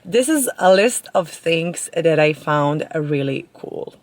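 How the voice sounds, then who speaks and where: A woman's voice: low-pitched, animated, slow pace, a little mellow, no echo. A young woman, a native Serbian speaker with a Serbian accent, chatting casually to camera in an informal, rambling way as a vlogger. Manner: informal, rambling with self-corrections.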